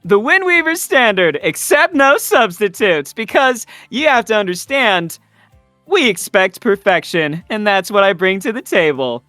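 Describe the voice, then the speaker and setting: A man's voice: sits high, very animated, a little slow, sharp tone, no echo, soft background music, voice pushed up, exaggerated voice. A man voicing a cocky, heroic young speedster from a platform game, with a brash, declamatory delivery. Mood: smug, proud.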